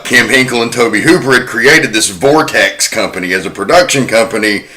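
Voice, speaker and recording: A man's voice: lowish voice, sharp tone. A man hosting a podcast, giving prepared commentary on film history. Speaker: podcast host.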